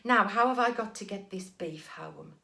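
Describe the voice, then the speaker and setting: A woman's voice: medium pitch, moderate pace, creaky, sharp tone. A woman storyteller voicing an eager, simple-minded young farm lad with earnest, colloquial rustic speech. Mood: delighted. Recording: echoey room.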